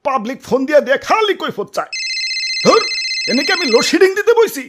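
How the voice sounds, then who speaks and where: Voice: high voice, very animated, quick pace. A performer with a Bangladeshi accent voicing a smug, exasperated male power-office operator in a comedy cartoon, complaining in colloquial speech. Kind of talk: exaggerated comedy cartoon dubbing. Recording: music and crowd noise behind voice. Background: loud comic music, crowd sounds.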